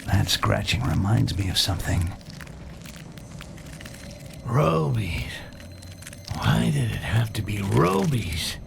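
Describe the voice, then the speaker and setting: A man voicing a cynical, sardonic goblin thief in a video game, muttering dry wisecracks to himself in a gravelly voice. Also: on the low side, very animated, slow, pauses often, heavily acted. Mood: wary, bitter. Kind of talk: video game character monologue. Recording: clear background noise, game ambience.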